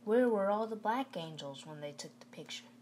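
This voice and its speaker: A woman's voice: medium pitch, expressive, moderate pace, darkish tone, a little hiss or hum, lightly acted. Woman reading as an eager, cocky young boy. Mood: curious.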